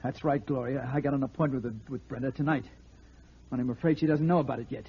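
A man's voice: mid-range voice, steady tone, fast, slightly rough. An actor playing a bitter, sarcastic young man bent on revenge, needling and sardonic, in a 1940s radio drama. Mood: grim. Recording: muffled old radio broadcast recording.

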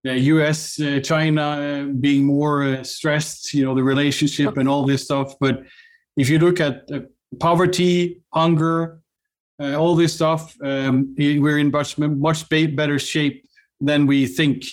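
Halting Norwegian-accented man. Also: fairly high pitch, level tone. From Norway. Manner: halting, many restarts and fillers. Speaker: guest, company cofounder. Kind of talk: casual interview chat.

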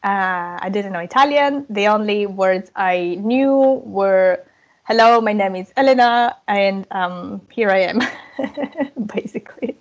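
A woman, a language coach talking as a podcast guest in a relaxed, chatty way with frequent laughs and fillers. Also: medium pitch, a little slow, muffled recording. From Ukraine. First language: Russian and Ukrainian. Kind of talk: casual interview chat.

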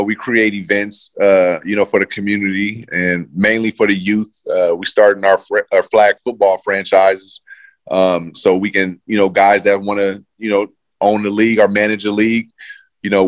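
A man with a very low voice talks about his football days in a loose, easygoing way. He leans on fillers as he goes. The recording is dry and close. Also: no room sound. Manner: laid-back, loose, many fillers. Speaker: former pro football player, guest. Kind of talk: casual interview chat.

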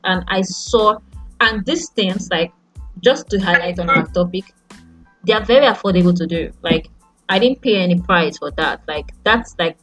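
Nigerian woman in her twenties chatting on a podcast, kinda low pitched, lots of um and like, soft music under. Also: poor audio. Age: young adult. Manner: halting, many fillers and restarts. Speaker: podcast host. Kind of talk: casual chat.

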